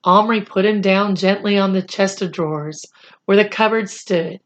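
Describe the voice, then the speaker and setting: A woman reading a children's chapter book aloud in a deliberate, expressive storytelling style. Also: mid-range voice, lo-fi sound. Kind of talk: read-aloud storytelling narration.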